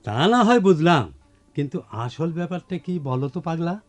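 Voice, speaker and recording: An actor playing a patient village postmaster in a radio play, reasoning in a measured, explanatory way. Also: male voice, a little high, animated, natural voice. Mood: patient, probing. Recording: music behind, radio drama.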